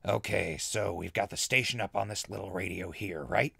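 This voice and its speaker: A man playing an easygoing ghoul radio host in a post-apocalyptic audio drama, laid-back and conversational with a gravelly voice. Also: low voice, normal speed, very noisy. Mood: relaxed. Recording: music bed under the voice.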